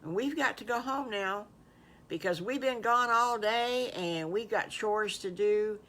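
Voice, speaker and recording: A woman's voice: mid-range voice, a little slow, a bit bright, pitched above the performer's own voice, close to an everyday voice. A woman voicing an eager, friendly young pig playmate in a children's story, emphatic and reassuring. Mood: cheerful.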